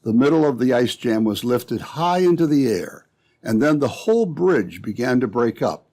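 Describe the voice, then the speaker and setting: A man's voice: mid-range voice, animated, moderate pace, dark tone. A man narrating an old-time radio adventure from a script, as the storyteller between scenes.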